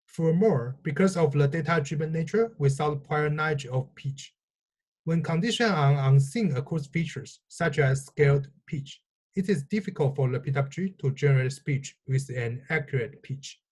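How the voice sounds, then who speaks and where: Higher-pitched man giving a technical presentation. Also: normal speed, a little mellow. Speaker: researcher presenting own work.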